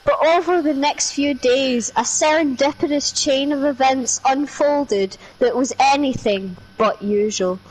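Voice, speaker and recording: A woman with a high voice reads aloud in a slow, drawn-out, theatrical way. She plays it up as a mock-dramatic reading and keeps slipping in asides. A faint hiss sits under her voice. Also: darkish tone, poor audio. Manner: slow, theatrical reading broken by giggles and swearing. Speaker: streamer reading an article aloud.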